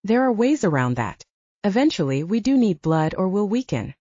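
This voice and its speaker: A woman voicing a guarded, knowing young female vampire in an audiobook, soft-spoken and explanatory. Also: mid-range voice, big pitch swings, moderate pace, no room sound, lo-fi sound. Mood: matter-of-fact. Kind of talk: audiobook character dialogue.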